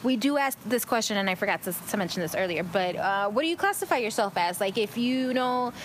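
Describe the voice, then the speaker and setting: A woman's voice: mid-range voice, animated, a little fast, slight background noise. A woman who speaks Spanish as her first language, hosting a casual podcast with playful, slangy, sweary banter. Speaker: podcast host.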